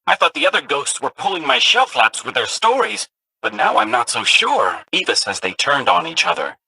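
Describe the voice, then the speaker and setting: A man's voice: fairly high pitch, big pitch swings, moderate pace, creaky, sharp tone, breathy edge, no room sound, exaggerated voice, poor audio. An actor voicing an eager, chatty male ghost companion robot in a video game, a curious storyteller of spooky legends. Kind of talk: game voice lines.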